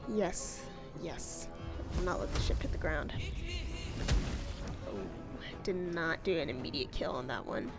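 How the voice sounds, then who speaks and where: A woman's voice: sits low, expressive, unhurried, long pauses. A woman giving casual let's-play commentary, chatty, exclaiming at setbacks and making wry, self-mocking asides. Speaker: gamer. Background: game music.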